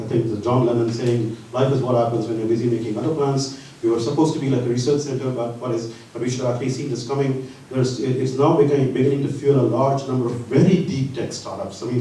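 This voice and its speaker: Halting male presenter in an echoing hall. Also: mid-range voice, warm tone. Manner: halting, with frequent pauses mid-sentence. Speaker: research center presenter. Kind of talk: conference presentation walking through slides. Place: large conference hall. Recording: echoey hall from far off, faint hiss.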